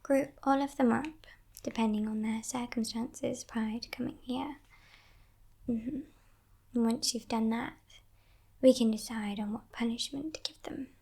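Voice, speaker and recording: A woman voicing a playful, menacing female demon in a breathy, teasing whisper for an ASMR roleplay. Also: on the high side, fairly even intonation, heavily acted. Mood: smug. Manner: teasing, condescending, softly sinister, unhurried.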